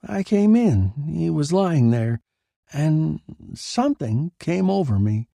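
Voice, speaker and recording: A man's voice: a little high, sing-song, slow, creaky, mellow, no room sound, clean studio sound, voice pushed up, exaggerated voice. A man voicing a defiant, candid young wife in a dramatised crime story, bold and unrepentant. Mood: insistent. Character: defiant young wife calmly confessing to stabbing. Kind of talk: dramatised short story reading.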